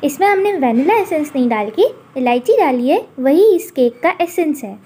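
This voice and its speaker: A woman hosting a cooking channel, calmly narrating a recipe step by step in a voice-over. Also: high-pitched, moderate pace. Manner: calm instructional narration.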